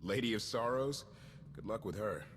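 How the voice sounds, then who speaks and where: A man's voice: on the low side, normal speed, close to an everyday voice. A man playing a hot-headed, skeptical young pilot in a video game, challenging and sarcastic. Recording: reverberant game audio, faint noise.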